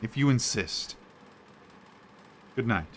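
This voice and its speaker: A man's voice: medium pitch, lively intonation, slow pace, slight background noise. An actor playing a stubborn, frightened male scholar in a radio drama, agitated and incredulous. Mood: uneasy disbelief. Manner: agitated, exclamatory.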